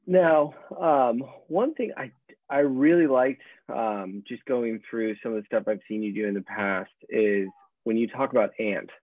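A man hosting an online interview in casual, halting talk, heard over a video call. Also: mid-range voice, dry recording. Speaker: interview host. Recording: muffled, dry video-call audio.

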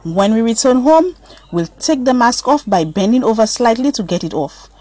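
A woman's voice: a little high, lively intonation, normal speed, darkish tone, no room sound. A woman voicing a patient, instructive mother in a children's story, explaining step by step.

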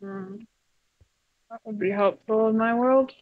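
A woman's voice: on the high side, fairly even intonation, unhurried, phone-quality sound, close to an everyday voice. A woman voicing a good-hearted, reserved puppet animatronic in an improvised game roleplay, with dry, terse, unimpressed delivery.

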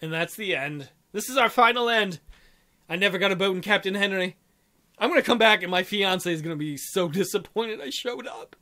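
A man's voice: sits high, lively intonation, fairly quick, pushed and bright. A man reading a visual novel aloud in a theatrical way, adding irreverent joking commentary.

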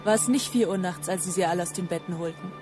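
Actress as an earnest narrator over music. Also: female voice, on the low side, fast, lightly acted. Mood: insistent. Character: female narrator relaying an old man's insistent memories. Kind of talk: literary radio drama narration in reported speech. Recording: music behind the voice.

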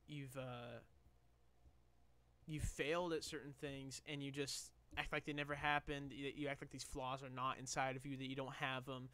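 A young man with a slightly hoarse voice chatting casually while reacting to music, recorded on a headset in a small bedroom. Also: medium pitch, level tone, normal speed, sharp tone. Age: young adult. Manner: chatty, rambling, with restarts.

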